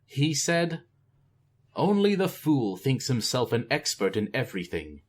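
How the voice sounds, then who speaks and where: A man's voice: medium pitch, very animated, a little slow, pitched above the performer's own voice. A man voicing an earnest young male king who searches for his words.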